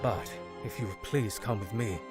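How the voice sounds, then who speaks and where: A man's voice: lowish voice, moderate pace, natural voice. A man playing a polite, formal guide with a British accent in a video game fan dub. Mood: calm, reassuring. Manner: courteous, formal, composed. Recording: game music playing behind the voice.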